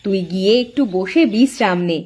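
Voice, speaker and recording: A woman's voice: medium pitch, lively intonation, fairly quick, a little mellow, lightly acted. A woman voicing a protective, hardworking elder co-wife in a village cartoon, speaking in a firm, motherly, advising way. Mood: caring, practical. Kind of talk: cartoon voice acting.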